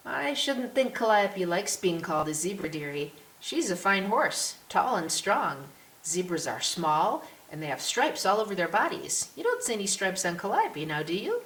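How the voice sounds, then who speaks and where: A woman's voice: medium pitch, very animated, normal speed, a bit bright, pitched above the performer's own voice. A woman voicing a genial English policeman in an audiobook, kindly, folksy and teasing with children. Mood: jovial teasing. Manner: jovial, avuncular, folksy. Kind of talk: audiobook character voice. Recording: roomy echo with clear hiss.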